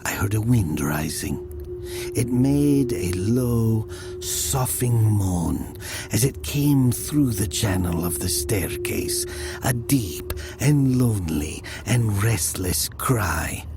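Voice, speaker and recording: An actor playing a brooding murderer who narrates his own dark fable in a measured, dramatic manner. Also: lowish voice, lively intonation, vocal fry, music in the background, full character voice. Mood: somber, haunted. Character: brooding male village murderer recounting his dark tale.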